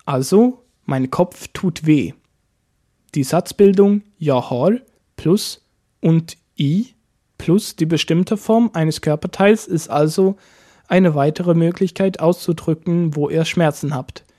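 Slow, mellow man teaching. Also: on the high side. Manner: slow, explains step by step. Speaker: language teacher. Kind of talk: lesson narration.

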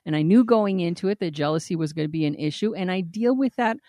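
A woman's voice: on the low side, lively intonation, fast, mellow, no room sound, clean studio sound. A woman co-hosting a podcast, reading a listener's email aloud and giving blunt, frank advice with frequent laughter and swearing. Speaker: podcast co-host.

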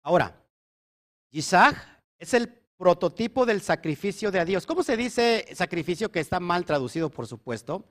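Preacher, male, high-pitched, emphatic teaching style, keeps checking in with his audience. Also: sing-song, normal speed, fairly bright tone. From Mexico. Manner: emphatic, asks the audience questions. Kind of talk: sermon teaching.

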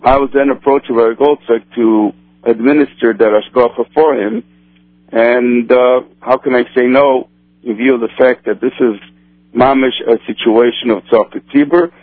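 A man from Brazil whose first language is Portuguese, a kosher supervisor answering interview questions in a halting way with many restarts. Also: mid-range voice, steady tone, no echo. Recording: tinny phone line, dry.

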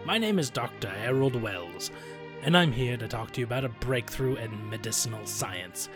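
A man's voice: mid-range voice, animated, normal speed, over music, lightly acted. A man playing a reassuring doctor with salesman patter in a spoof 1930s radio sponsor advert. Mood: reassuring, salesy. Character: reassuring male doctor pitching cigarettes.

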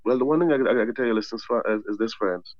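A man from the Bahamas, a guest author, chatting casually in an interview, often repeating words as he tells animated stories. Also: mid-range voice, very fast, no room sound, lo-fi sound.

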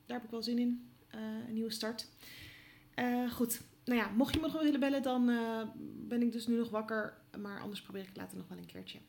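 A young woman reporter with a high voice, new in town and full of curiosity. She talks informally, hesitating as she goes, like leaving a voice message in a fiction podcast, with faint noise behind her. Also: steady tone, fairly quick, creaky. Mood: casual, hesitant. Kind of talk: naturalistic audio drama, voice messages.

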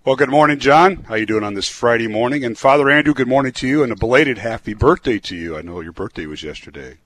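A man with a gravelly, hoarse voice from a cold, chatting casually on the radio and reading the weather. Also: mid-range voice, animated, fast talker, fairly bright tone. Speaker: news and weather reader.

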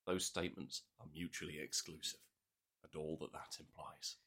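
A man's voice: rumbling low, little pitch movement, normal speed, pushed and bright. A man co-hosting a casual podcast at home, playful and rambling, sitting back from a shared mic in a small room with a hard bare wall. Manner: playful, rambling, self-mocking. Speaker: podcast host. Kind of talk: casual banter. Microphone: shared tabletop microphone, sitting a bit far from it.

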